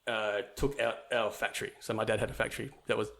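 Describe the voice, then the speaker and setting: A man's voice: fairly low pitch, level tone, fast, vocal fry, a bit bright. A young man from New Zealand, a podcast guest, telling his life story in a casual, rambling way with many fillers and restarts.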